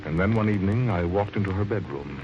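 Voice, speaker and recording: An actor playing a calm, chilling man confessing that he murdered his wife, in 1940s radio drama style. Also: low-pitched, fast talker, background noise, lightly acted. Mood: gloating. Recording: muffled old radio recording with music.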